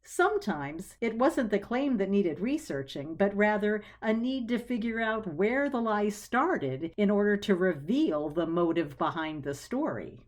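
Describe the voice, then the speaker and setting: A woman's voice: medium pitch, big pitch swings, measured pace, few pauses. A woman reading scripted story narration in a wry, conversational storytelling manner.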